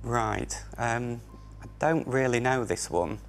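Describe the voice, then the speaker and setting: A man's voice: medium pitch, slow pace, faint music behind. A man in his thirties, a quiz contestant, thinking aloud hesitantly over his answers in a studio. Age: 30s.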